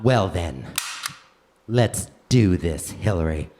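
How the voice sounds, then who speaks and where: A man's voice: sits low, expressive, takes it slowly, warm tone, slight background noise. A man playing a devoted, admiring husband in a comedic live radio play, earnest and melodramatic. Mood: proud, dramatic.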